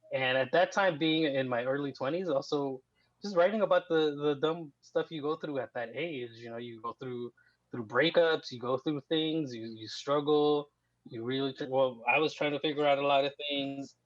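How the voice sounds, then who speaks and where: A man's voice: medium pitch, fairly even intonation, normal speed, no room sound. A man in his thirties from Mexico, guest on a podcast, talking at an easy rambling pace with many fillers. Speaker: guest writer. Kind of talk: casual interview. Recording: muffled dry lo-fi.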